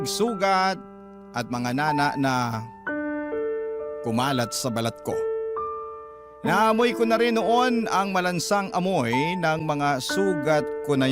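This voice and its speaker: A man narrating a listener's letter in an earnest, measured way for a radio drama. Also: a little high. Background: loud music.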